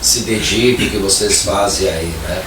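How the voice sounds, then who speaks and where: A man's voice: low-pitched, unhurried. Chatty male host in an echoey room. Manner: chatty, joking, many tag questions. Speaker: radio talk show host. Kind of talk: casual talk show hosting. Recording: echoey, distant, faint noise.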